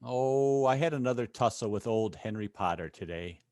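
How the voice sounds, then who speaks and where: A man's voice: medium pitch, lively intonation, normal speed, darkish tone, no room sound, lightly acted. Radio play: man as tired, principled father, wistful, varied intonation, dry sound. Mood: weary. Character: tired, gentle, principled middle-aged father. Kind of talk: old-time radio play acting.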